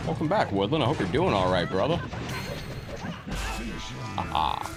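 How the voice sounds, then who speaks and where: Man, streaming host, low voice, slightly scratchy from a cold, chatty, game music behind. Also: sing-song, moderate pace. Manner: chatty, shouts out viewers by name. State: getting over a cold, a little scratchy. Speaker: live-stream gaming host. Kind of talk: casual gameplay commentary, greeting chat.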